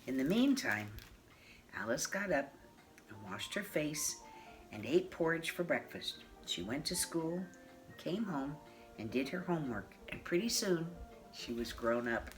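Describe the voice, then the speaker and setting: A woman of mature years reading a children's picture book aloud in a measured, expressive way. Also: low-pitched, poor audio. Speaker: storybook reader. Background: classical piano music.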